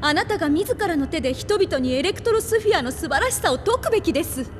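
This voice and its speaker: An actress playing an idealistic, defiant young woman scientist in an animated game drama, earnest and intense. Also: very high voice, fast. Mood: earnest pleading. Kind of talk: dramatic Japanese anime game acting.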